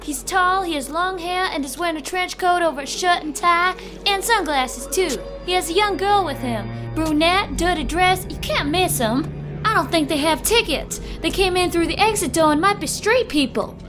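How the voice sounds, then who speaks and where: A chatty female clerk, with an extremely high voice. She is polite and a bit fretful, in an audio drama with music playing behind. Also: normal speed. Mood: concerned, insistent. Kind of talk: science fiction audio drama acting.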